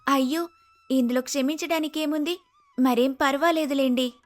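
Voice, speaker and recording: A woman voicing a cheerful, respectful young woman in an animated moral story. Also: high voice, moderate pace, lightly acted. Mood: friendly, reassuring. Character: brave, cheerful, respectful young woman, a helpful house guest.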